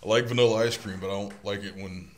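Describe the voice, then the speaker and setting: A man speaks in a somewhat low voice, blunt and full of strong opinions. He talks casually, backing up his co-host and then leaning into gruff, exaggerated outrage. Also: fairly even intonation, fast, slight background noise. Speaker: podcast co-host. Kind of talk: casual chat.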